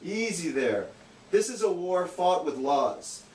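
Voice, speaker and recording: Higher-voiced man explaining, echoey room. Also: very animated, slow pace, darkish tone. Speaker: explainer, straight man in puppet show. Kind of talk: scripted educational explanation. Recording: echoey, distant, faint noise.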